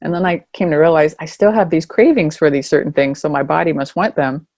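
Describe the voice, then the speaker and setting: A woman hosting a podcast interview, talking in a relaxed, rambling way with lots of filler words. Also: sits low, fairly quick, dry recording, clean studio sound. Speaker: podcast host. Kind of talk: casual interview chat.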